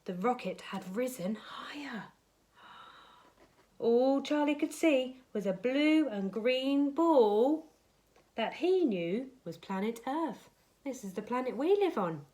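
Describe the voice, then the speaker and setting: A woman's voice: high-pitched, lively intonation, slow pace, mellow. A woman reading a children's bedtime story aloud, expressively dramatising it for young listeners.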